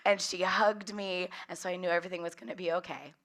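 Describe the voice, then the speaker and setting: A young woman from California's Central Valley, hoarse and a little cracky from recovering a lost voice, leading a workshop with open storytelling and frequent laughter. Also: lowish voice, fairly even intonation, quick pace, a bit bright. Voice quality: slightly cracky voice. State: hoarse, recovering from losing her voice. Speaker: workshop leader. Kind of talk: personal storytelling talk.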